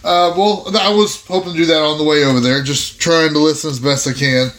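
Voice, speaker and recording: A man playing a tabletop roleplaying game, chatting casually and thinking aloud in halting, repeated phrases. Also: a little high, steady tone, a bit bright. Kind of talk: casual game-table chat, improvising in character.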